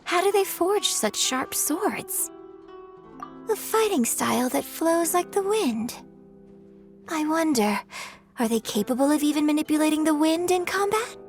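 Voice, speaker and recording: A woman's voice: sits high, lively intonation, measured pace, faint music behind. A woman playing a refined, courteous young opera performer in a video game, speaking with polite, formal, elegant phrasing. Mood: curious, polite. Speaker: game character voice. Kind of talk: scripted video game dialogue.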